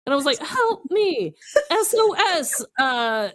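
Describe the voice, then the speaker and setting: A woman co-hosting a podcast, telling casual comic stories in a chatty, animated and expressive way. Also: high-pitched, unhurried. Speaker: podcast co-host. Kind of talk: casual comedic storytelling.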